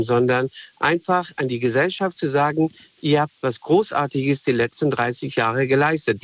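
A man from Afghanistan calling in to a radio show, earnest and reflective, often pausing to find his words. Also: mid-range voice, no echo. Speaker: caller. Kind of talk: call-in radio comment. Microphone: telephone. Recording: dull phone line.

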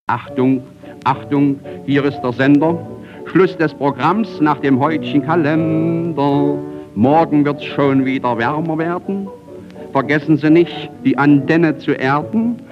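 An actor playing a wry radio announcer who signs off in rhyme, 1920s cabaret style, over music on a dull archive recording. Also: male voice, on the high side, measured pace, lightly acted.

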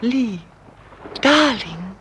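A man's voice: very high-pitched, animated, very slow, pushed and bright, a little hiss or hum, exaggerated voice. An actor playing a languid, love-struck rich young man in a German radio play, dreamy and hesitant. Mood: dreamy, tender.

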